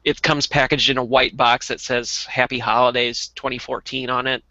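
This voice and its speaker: A man in a casual chat about collectible toys, reviewing them as a co-host with halting, detailed explanations. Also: mid-range voice, little pitch movement, sharp tone, no echo, lo-fi sound. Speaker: toy reviewer and podcast co-host.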